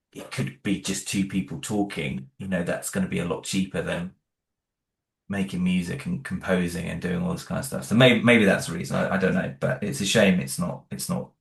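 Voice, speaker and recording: A man's voice: low-pitched, vocal fry, reverberant. A man, creator of a horror audio series, answering interview questions casually and hesitantly, with many restarts and fillers.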